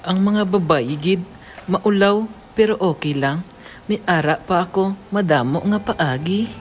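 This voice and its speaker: A woman's voice: fairly low pitch, takes it slowly, a little hiss or hum. A woman playing a sleazy, patronizing male office boss in an amateur radio drama, with a Filipino accent. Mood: smug.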